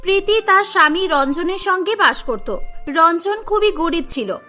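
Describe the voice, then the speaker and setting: A woman's voice: very high voice, animated, normal speed, pushed and bright. A woman reading scripted storybook narration for a cartoon, with music behind her.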